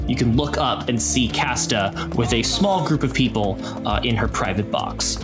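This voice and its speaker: A man acting as game master, narrating an improvised story with deliberate pauses as he sets the scene. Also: medium pitch, music in the background.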